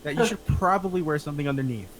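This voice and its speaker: A man's voice: on the high side, expressive, very fast, a little hiss or hum, rough recording. A man talking casually with a group over online voice chat. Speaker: participant in an online script table read.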